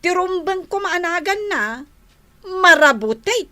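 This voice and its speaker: A woman's voice: extremely high pitch, lively intonation, pushed and bright, exaggerated voice. A woman with an Ilocano accent playing a giggly, mocking younger sister and discontented wife in a radio drama. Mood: mocking amusement. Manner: giggling, teasing, drawn-out delivery. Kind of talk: Filipino radio drama acting.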